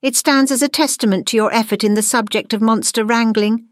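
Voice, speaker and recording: A woman's voice: on the high side, fairly even intonation, fairly quick, no echo, studio quality, pitched above the performer's own voice, close to an everyday voice. A woman voicing a composed, wry, knowing witch who teaches school, in a storybook. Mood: fond, knowing.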